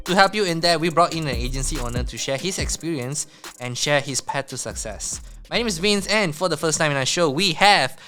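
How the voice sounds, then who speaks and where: A man hosting a podcast, introducing his guest and nudging him along with quick questions and frequent mm-hmms. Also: a little high. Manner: encouraging, many short mm-hmms. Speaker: podcast host. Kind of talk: casual podcast interview.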